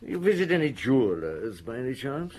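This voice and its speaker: A police detective in a radio play played by a male actor. His mid-pitched voice rises and dips widely as he puts measured, ironic questions with dry politeness. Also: big pitch swings, darkish tone, slight background noise, close to an everyday voice. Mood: probing. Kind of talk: radio drama acting.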